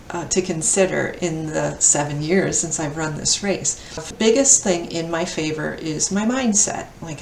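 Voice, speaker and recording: Low-voiced woman of fifty, gravelly edge. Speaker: vlogger and amateur ultra runner. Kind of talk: casual talk to camera about running. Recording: echoey, distant mic, faint noise.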